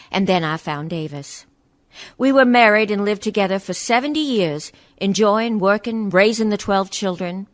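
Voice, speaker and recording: Woman acting a hardy old pioneer wife, low-pitched. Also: close to an everyday voice. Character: proud, hardy ninety-six-year-old pioneer wife, now dead. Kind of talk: dramatic monologue in verse for radio play.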